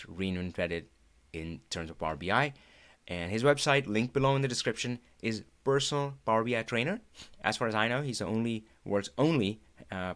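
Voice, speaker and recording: Man, Indian accent, lowish pitch, lively live-stream host, laughs a lot, lots of fillers. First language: Hindi. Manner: animated, laughing often, chatty with fillers.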